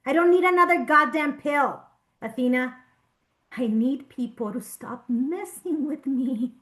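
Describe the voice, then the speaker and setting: Actress as angry female patient, extremely high pitch, erratic, hostile, swearing, Spanish-English mixing, dramatic table read. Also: a little slow, a bit bright. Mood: furious, agitated. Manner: confrontational, mixes Spanish and English. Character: erratic, hostile, foul-mouthed female patient, distrustful and furious.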